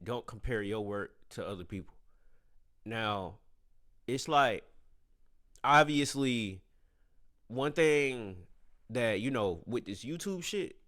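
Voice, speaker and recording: Brief: slangy reaction host, a man with a bright, lively voice who talks to chat like a friend. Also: mid-range voice, takes it slowly, long pauses. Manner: emotional, says he has tears in his eyes. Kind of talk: casual reaction commentary to viewers.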